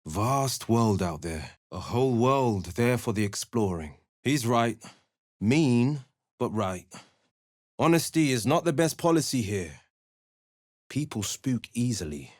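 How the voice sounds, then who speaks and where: An actor playing a young male warlock hero in a video game, speaking earnest, crisp one-liners on a close studio mic. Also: medium pitch, big pitch swings, slow pace, dry recording. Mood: resolute.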